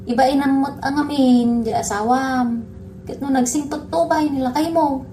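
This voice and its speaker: A woman's voice: high-pitched, fairly even intonation, normal speed, mellow, slight background noise, close to an everyday voice. A woman with a Filipino accent playing a blunt best friend who chides and gives advice in a comedy radio drama. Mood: teasing, chiding. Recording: music behind the voice.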